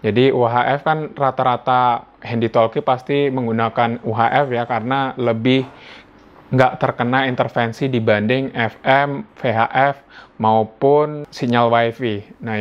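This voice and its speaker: An Indonesian man giving a casual hands-on gadget review indoors at home, talking informally as if thinking aloud. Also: medium pitch. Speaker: product reviewer. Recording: dull lo-fi sound.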